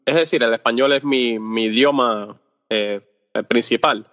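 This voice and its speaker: A man from Cuba with a Cuban accent, a podcast guest talking casually and haltingly, often pausing on fillers and restarting his sentences. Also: mid-range voice, fairly bright tone. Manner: hesitant, searches for words with many fillers and restarts. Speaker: podcast guest, off-road video creator. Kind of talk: casual interview talk. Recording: dull lo-fi sound with long reverb.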